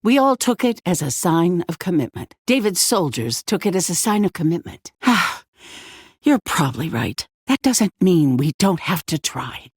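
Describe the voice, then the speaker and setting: An actress playing a poised, commanding woman who leads a survivor settlement in a video game, speaking in a measured, courteous but firm way. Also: fairly low pitch, big pitch swings, husky, dry recording, studio quality, full character voice. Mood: measured, earnest. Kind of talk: dramatic video game dialogue.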